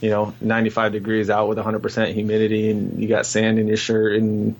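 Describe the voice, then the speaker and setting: A man guesting on a podcast, casually sharing an anecdote, with clear noise in the recording. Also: on the low side, monotone, a little fast, poor audio. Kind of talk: casual chat, personal anecdote.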